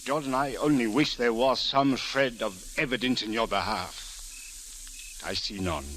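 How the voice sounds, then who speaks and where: An actor playing a grave, dignified police captain with formal, measured speech in a radio drama. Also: male voice, mid-range voice, fairly bright tone, natural voice. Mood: grave, regretful. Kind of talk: old-time radio drama acting. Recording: hissy old radio recording.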